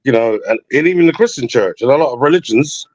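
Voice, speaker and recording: A man on an online call, his voice a bit muffled and lo-fi but close and dry, with no room around it. He wanders from point to point, often circling back with "you know what I'm saying." His pitch sits in an ordinary middle range. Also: expressive, fast, a little mellow, dry recording. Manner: loose, repeats "you know what I mean". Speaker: guest on a call-in talk show. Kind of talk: rambling casual conversation.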